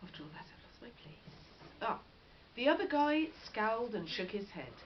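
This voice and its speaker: A woman, a teacher reading a story aloud and putting questions to her class in an expressive, encouraging way. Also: on the high side, moderate pace. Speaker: primary school teacher. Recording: dull lo-fi recording with background noise.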